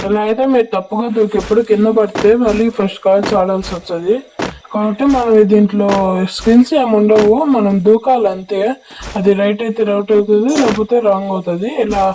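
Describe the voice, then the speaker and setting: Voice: mid-range voice, rough recording. A gaming creator with a Telugu accent and a voice changer effect, giving instructional, repetitive gameplay tutorial commentary. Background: music.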